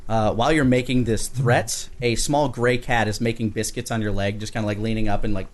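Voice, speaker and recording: A man acting as game master, improvising narration in a casual, halting way peppered with filler slang. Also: lowish voice, fairly even intonation. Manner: casual, halting storytelling full of "like".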